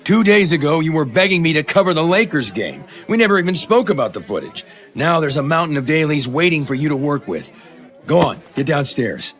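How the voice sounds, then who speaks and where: An actor playing a brash, cynical TV news station boss in an audio drama, dismissive and pushy. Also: male voice, on the high side, big pitch swings, a little fast, a bit bright. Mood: dismissive, scheming.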